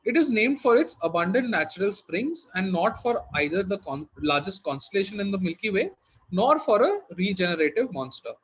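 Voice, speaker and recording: A man's voice: high voice, big pitch swings, fairly quick, a bit bright, slightly rough, poor audio. A man working as a quizmaster, reading out questions and hosting in a conversational way.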